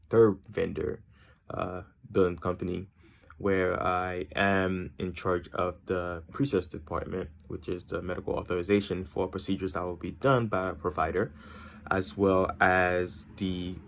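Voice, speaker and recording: A young man from Haiti introduces himself in a loose, wandering way, circling off on tangents and filling pauses with ums. His voice sits very low with a gravelly, fried edge. He sounds easygoing and unscripted. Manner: rambling and tangential, many ums and uhs. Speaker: student introducing himself to a class. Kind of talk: casual self-introduction.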